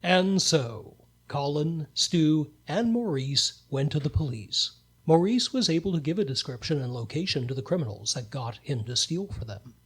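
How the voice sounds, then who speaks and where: A man with a slightly high, husky voice serves as narrator. He tells the story in a scripted, storybook style for a radio play. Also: expressive, normal speed, fairly bright tone.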